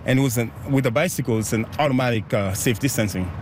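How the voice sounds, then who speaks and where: A man's voice: mid-range voice, fast talker, a little hiss or hum. A man from Haiti who organizes group bike rides, talking in a casual, upbeat interview outdoors and laughing often.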